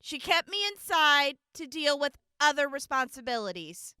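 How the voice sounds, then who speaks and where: Woman acting a gentle earnest wasteland medic girl on the stand, high bright voice, big pauses, radio drama. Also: lively intonation, dry recording, clean studio sound. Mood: earnest, confessing. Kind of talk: audio drama courtroom testimony.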